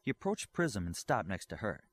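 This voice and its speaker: A man's voice: fairly low pitch, sing-song, normal speed, breathy edge. A man reading a scripted science fiction story aloud as an audiobook narrator. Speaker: audiobook reader.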